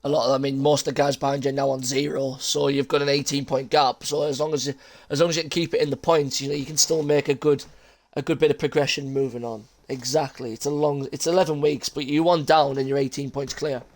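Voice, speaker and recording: A man's voice: medium pitch, level tone, fast, few pauses. A young man hosting post-race interviews on a racing league stream, chatty and jokey. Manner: chatty, laughs a lot, jokey. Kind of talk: casual live-stream interview chat.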